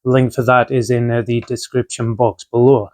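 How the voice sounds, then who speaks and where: A man talking through a casual tarot reading, his voice still rough from a chest infection, relaxed and filled with ums and uhs. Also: medium pitch, moderate pace. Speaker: tarot reader.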